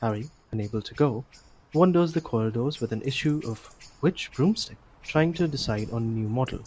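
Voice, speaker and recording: A man reads scripted narration for an audio play. His voice sits at an average pitch, with a little noise on the recording. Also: lively intonation, brisk, darkish tone.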